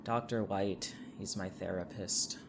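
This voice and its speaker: A man's voice: low voice, fairly even intonation, a little slow, noisy background. A young man acting in an audio drama as a sarcastic, irritable young man trapped in a horror town, dry and defiant. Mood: flat, bitter. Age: young adult.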